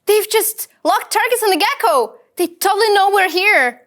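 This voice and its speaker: A woman's voice: very high-pitched, lively intonation, normal speed, fairly bright tone. A woman playing a timid, eager, polite young starship ensign in a sci-fi cartoon table read, hesitant and awkward. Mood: worried, alert.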